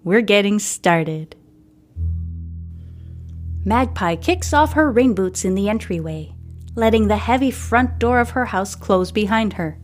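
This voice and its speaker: A woman's voice: mid-range voice, lively intonation, measured pace, pauses often, faint music behind. A woman hosting and narrating a scripted story, reading a book aloud.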